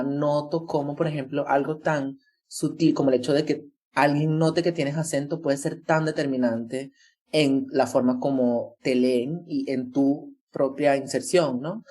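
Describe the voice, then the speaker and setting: A speaker from Venezuela, reflecting in a measured way on a family experience. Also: mid-range voice, mellow. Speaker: interviewee. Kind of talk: personal testimony.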